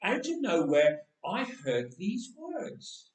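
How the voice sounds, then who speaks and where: Wry young man of Bible times, acted by a male reader, high animated voice, conversational monologue in a roomy space. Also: slow pace, heavily acted. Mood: wry, wondering. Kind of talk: dramatic first-person storytelling monologue. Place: church, voice echoing. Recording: roomy church echo, distant microphone.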